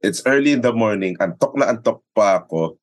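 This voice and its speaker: Filipino guy w deep voice, dry sound, chatting casually, acts out quotes while telling stories. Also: lively intonation, brisk, dry recording. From Philippines. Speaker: sports announcer and radio commentator, interview guest. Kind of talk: casual conversational storytelling, mixing Tagalog and English.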